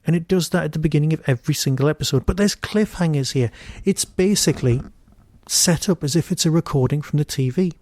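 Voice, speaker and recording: A man hosting a podcast, giving an informal solo review in a wry, digressive way with emphatic pauses. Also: on the high side, a little mellow, no room sound.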